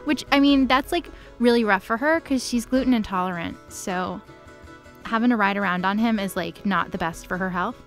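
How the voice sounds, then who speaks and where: A woman's voice: medium pitch, normal speed, fairly bright tone, faint music behind. A woman co-hosting a comedic story recap, chatting casually with slang and sarcastic asides.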